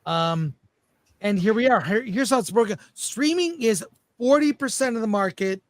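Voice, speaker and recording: A man's voice: high-pitched, animated, normal speed, dry recording. A man hosting a casual panel discussion, reading out and commenting on statistics. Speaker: host of panel show.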